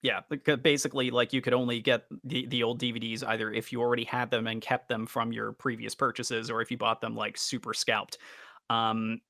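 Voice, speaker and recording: A man's voice: mid-range voice, little pitch movement, brisk, gravelly, bright, forceful tone, dry recording, studio quality. A man co-hosting a casual podcast, chatting like an enthusiastic fan. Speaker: co-host.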